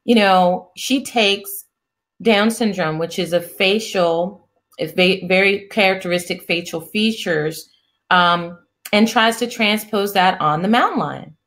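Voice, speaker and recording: A middle-aged woman hosting an interview, talking in a soft, soothing way with many restarts. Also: medium pitch, unhurried. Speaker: interview host, psychiatrist. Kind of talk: casual interview chat.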